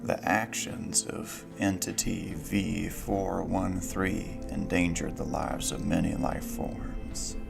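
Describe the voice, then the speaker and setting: A man playing a stern, dutiful inhuman sentinel in an audio drama, speaking formally and deadpan with a gravelly voice. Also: slow pace, hardly any pauses, loud music behind the voice, heavily acted. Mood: flat, formal. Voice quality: very deep, gravelly. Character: stern, dutiful non-human sentinel of a secret containment facility.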